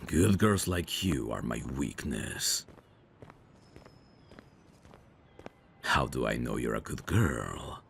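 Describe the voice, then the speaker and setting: Dangerous male mafia boss, played by a voice actor, ASMR audio drama: slow, lively intonation, self-assured teasing, protective streak. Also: extremely low pitch, gravelly, a little hiss or hum, exaggerated voice. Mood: flirtatious, teasing.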